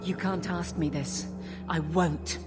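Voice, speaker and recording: A fierce, protective warrior wife for a fantasy video game. Her fairly low voice is forceful and fraught with anguish. Music is clearly audible behind her. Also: steady tone, slow.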